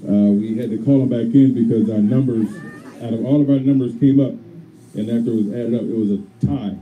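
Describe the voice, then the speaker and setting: A man serving as a competition judge, speaking calmly and measured with some fillers, on a handheld mic in a crowded barbershop. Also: fairly low pitch, phone-quality sound, a little hiss or hum. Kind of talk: announcing results. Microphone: handheld microphone. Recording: loud music behind.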